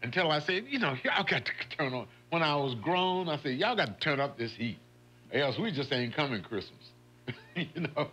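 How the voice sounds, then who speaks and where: A man's voice: on the high side, big pitch swings, normal speed, sharp tone, slight background noise. A man hosting a morning radio show, chatting in a folksy way and laughing often. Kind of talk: casual radio chat. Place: radio studio. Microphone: studio microphone.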